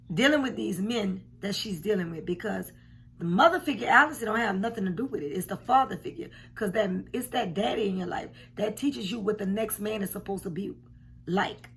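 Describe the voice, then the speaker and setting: A woman in her forties, a bit hoarse, giving frank, emphatic commentary from her home, repeating points for weight. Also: medium pitch, fairly bright tone, a little hiss or hum. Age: 40s. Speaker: commentary vlogger. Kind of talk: casual reaction commentary. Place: home room indoors. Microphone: camera at desk distance.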